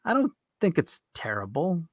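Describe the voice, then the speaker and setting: A man's voice: fairly high pitch, wildly swooping pitch, normal speed, husky, no echo, studio quality. A man co-hosting a podcast in casual chat, talking in an informal, conversational way. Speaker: podcast co-host.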